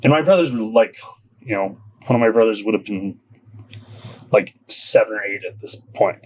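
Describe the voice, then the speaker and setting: A man's voice: lowish voice, normal speed, poor audio. A man from Southern California, a podcast guest telling stories in a casual, rambling way, full of filler likes. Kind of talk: casual storytelling.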